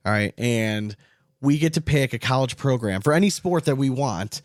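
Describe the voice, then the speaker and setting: A man's voice: medium pitch, animated, moderate pace, no echo, studio quality. A man hosting a podcast in a casual, conversational sports chat. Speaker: podcast host.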